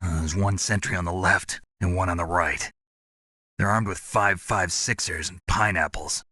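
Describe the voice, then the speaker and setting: An actor playing a cocky, flirtatious legendary soldier in a video game, with a gravelly voice and a dry, cool, teasing manner. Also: male voice, deep voice, fairly even intonation, moderate pace, pushed and bright, no room sound. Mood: businesslike. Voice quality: gravelly, husky.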